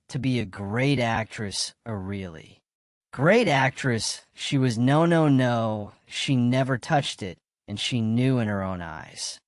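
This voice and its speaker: Guarded widower in an audio drama, bitter n earnest, halting delivery, mid pitch, dry recording. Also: male voice, no room sound. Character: guarded, bitter widower confessing a failed marriage.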